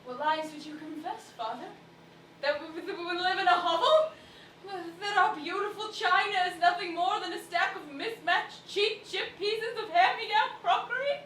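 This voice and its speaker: A woman's voice: very high voice, normal speed, fairly bright tone, reverberant, a little hiss or hum. A woman playing a proud, loving blind daughter in a stage play, emphatic and teasing, recorded from a distance. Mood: defiant. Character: spirited blind daughter, proud, loving, unfooled by kindly lies.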